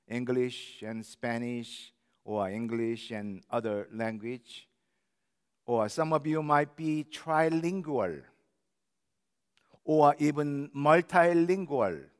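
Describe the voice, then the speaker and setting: A man in his sixties from Korea with a Korean accent, preaching a sermon in a slow, careful, earnest way. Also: mid-range voice, smooth. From South Korea. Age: 60s. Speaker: pastor. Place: church sanctuary. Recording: long church hall reverb.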